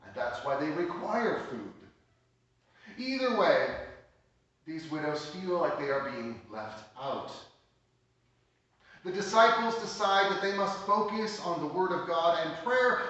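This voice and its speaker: A man delivers a Sunday sermon in a steady, explaining manner, his voice sitting a little high. The sound carries heavy church reverb, as if picked up from a distance across the sanctuary. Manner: measured teaching tone, explains scripture plainly. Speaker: pastor. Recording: echoey church hall, mic at a distance.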